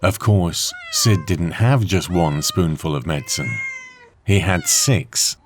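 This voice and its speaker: A man reading a scripted children's story in a measured, expressive way, with deliberate pauses. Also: low-pitched, noisy background. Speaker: storybook narrator.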